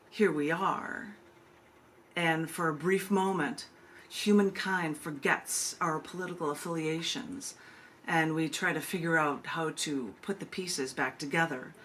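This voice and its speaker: A woman hosting a virtual open mic, reading her poems and introducing them casually, with deliberate, emphatic phrasing and drawn-out pauses. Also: deep voice, fairly bright tone, a little hiss or hum. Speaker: open mic host and poet. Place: virtual open mic over video call.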